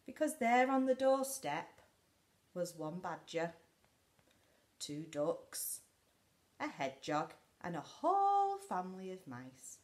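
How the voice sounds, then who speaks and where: A woman in her forties reads a picture book aloud to young children in a dramatic way, often dropping to a hush. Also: on the low side. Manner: dramatic, often drops to a hushed whisper. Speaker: school storyteller. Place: living room indoors by a window.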